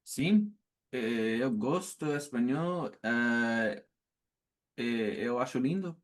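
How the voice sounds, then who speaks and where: A man, a language learner, answering interview questions hesitantly with pauses and fillers. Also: medium pitch, lively intonation.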